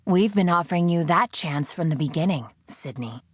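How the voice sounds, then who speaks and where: A woman's voice: on the low side, animated, normal speed, breathy edge, voice pushed up. A woman voicing a condescending, sanctimonious captor who interrogates a prisoner in an audiobook. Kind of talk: audiobook character acting.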